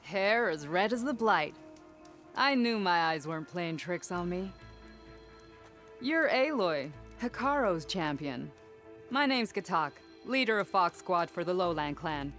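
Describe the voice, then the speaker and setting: Low-voiced, confident female squad leader. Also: animated, a little slow, a bit bright, faint music behind. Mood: assured. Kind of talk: video game cutscene acting.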